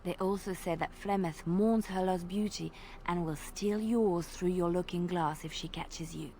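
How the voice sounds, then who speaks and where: A woman telling an old legend in character during a tabletop roleplay, measured and dramatic, at times dropping to a hush. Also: fairly low pitch, fairly even intonation, slight background noise.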